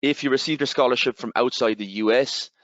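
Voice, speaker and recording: A man with an Irish accent, giving a webinar presentation and explaining step by step, with steady fillers and repeated "again". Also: mid-range voice, brisk, no echo. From Ireland. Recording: slightly dull, dry webinar audio.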